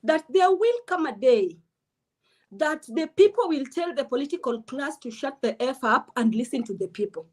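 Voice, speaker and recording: A woman with a high, bright voice speaks as an interview guest. She is blunt and emphatic, driving her political points home without softening them. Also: animated, normal speed. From Kenya.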